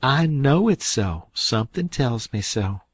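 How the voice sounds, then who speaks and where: Fussing motherly farm wife done by a man, high fluttery voice, husky, dry. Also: very animated, unhurried, no echo. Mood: fretful.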